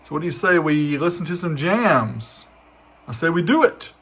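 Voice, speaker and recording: A man's voice: a little high, lively intonation, moderate pace. A man giving casual, upbeat product test commentary as a show host, chatty and informal. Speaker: host of a car audio testing show.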